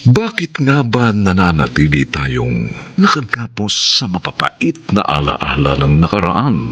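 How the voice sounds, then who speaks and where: A man's voice: sits low, fairly bright tone, a little hiss or hum. A man narrating and announcing a radio drama in a solemn, theatrical, scripted style. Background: faint music.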